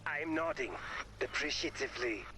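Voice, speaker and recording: Man playing a deadpan, formal robot teammate. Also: mid-range voice, normal speed, background noise, lightly acted. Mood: politely literal. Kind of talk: video game voice acting.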